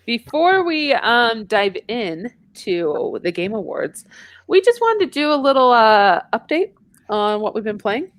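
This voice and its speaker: A woman's voice: on the high side, very animated, measured pace, no room sound. A woman hosting a podcast in casual, chatty conversation. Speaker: podcast host. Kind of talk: casual chat.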